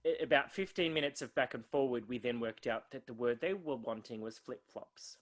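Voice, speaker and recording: A man's voice: mid-range voice, a little fast. An Australian man co-hosting a podcast, chatting casually and self-mockingly, drifting off on tangents. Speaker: podcast co-host. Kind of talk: casual chat.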